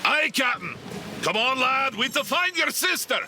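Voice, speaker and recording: A man playing a gruff, excitable deckhand with a blustering, folksy way of talking in an audio drama. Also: very high voice, normal speed, background noise. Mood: blustering, urgent.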